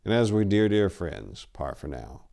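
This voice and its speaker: A man's voice: sits low, sing-song, creaky, mellow. A man narrating in a theatrical, brooding style, like a dramatic story reading. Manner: theatrical, brooding, deliberate delivery. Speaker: narrator and channel host.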